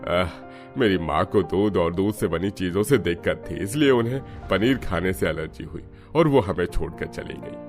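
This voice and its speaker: A man's voice: sits low, normal speed, faint music behind, lightly acted. A man voicing a stern family elder. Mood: firm. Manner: firm, instructing. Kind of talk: cartoon dubbing.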